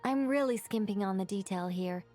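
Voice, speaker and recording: A woman's voice: mid-range voice, moderate pace, dark tone, soft background music, close to an everyday voice. A woman playing a wordy, polite, scholarly witch who eagerly explains things in a video game. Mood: earnest explaining.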